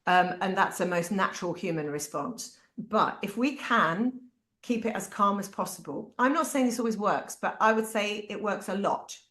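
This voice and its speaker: A woman with a British accent, a parent giving candid, animated advice to other parents. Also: medium pitch, moderate pace. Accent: British English. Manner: animated, acts out quoted voices. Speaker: parent speaker. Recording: echoey room.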